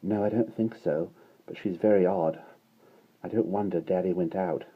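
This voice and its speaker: A man voicing a sensible young girl in a quiet, hushed way, on tinny phone-like audio. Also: deep voice, steady tone, moderate pace, husky.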